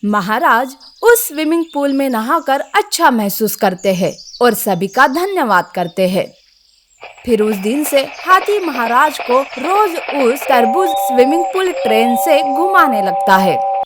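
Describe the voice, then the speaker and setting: A woman reads a scripted children's story in a high voice. Her pace is slow and measured, and there is clear ambient noise with birds behind her.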